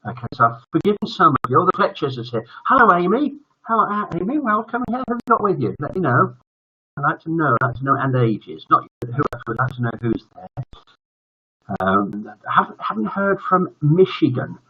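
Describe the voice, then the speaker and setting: Husky, rambling older man. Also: fairly high pitch, sing-song, a little fast. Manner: rambling, jokey, often trailing off. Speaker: host of a children's bedtime story livestream. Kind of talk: casual livestream chat with viewers. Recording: dull lo-fi livestream audio.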